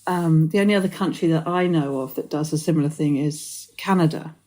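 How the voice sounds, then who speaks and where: Low-voiced Englishwoman hosting a chat. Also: fairly quick, warm tone. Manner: thoughtful, expansive, musing aloud. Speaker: podcast host. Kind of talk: conversational interview.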